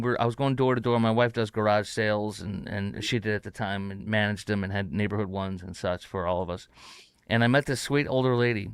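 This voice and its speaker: A man hosts a talk show from his house, talking in a loose, rambling way full of fillers and restarts that turns excitable. His voice is smooth and resonant, and the sound is close and dry, with no room around it. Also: lowish voice, no echo. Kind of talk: casual talk show chat. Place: home studio.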